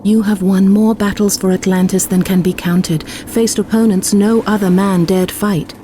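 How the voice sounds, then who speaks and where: An actress playing a wise goddess in a video game, speaking in a grave, measured, portentous way. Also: female voice, mid-range voice, steady tone, dark tone, a little hiss or hum, close to an everyday voice. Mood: solemn. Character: solemn, wise goddess warning a mortal hero. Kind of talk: video game cutscene acting.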